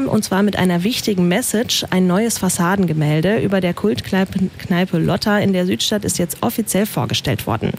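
A woman presenting the morning radio news in a steady, crisp delivery from a studio. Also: on the low side, slight background noise.

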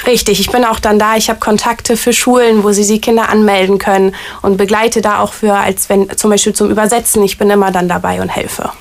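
A woman's voice: mid-range voice, flat delivery, a little fast, hardly any pauses. Chatty woman in an interview. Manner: chatty, eager, frequent fillers like "tatsächlich". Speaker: artist liaison at a variety theater. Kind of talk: casual interview answers.

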